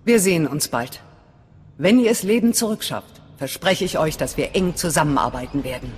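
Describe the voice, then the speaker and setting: Eine Schauspielerin als strenge, zurückhaltende Anführerin einer Widerstandszelle in einem Videospiel, befehlend und sachlich. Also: female voice, low voice, lively intonation, normal speed, a little mellow. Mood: commanding. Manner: commanding, matter-of-fact. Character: stern, guarded female resistance cell leader. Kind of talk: dramatic video game dialogue in German. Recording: long hall reverb. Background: background music.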